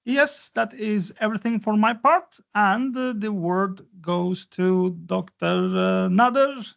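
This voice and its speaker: A man who teaches the courses, handing over the floor at the start of a webinar in a slow, drawn-out way. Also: high voice, creaky, fairly bright tone. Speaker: course teacher introducing the speaker. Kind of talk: webinar introduction. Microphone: video call microphone. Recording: muffled distant webinar audio.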